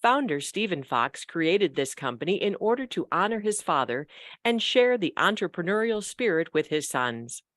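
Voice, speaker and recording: A woman's voice: fairly low pitch, big pitch swings, moderate pace, a bit bright, no echo. A woman announcer delivers a scripted sponsor read. The recording is close and dry, with no room sound around her. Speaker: announcer reading a sponsor message. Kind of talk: scripted narration.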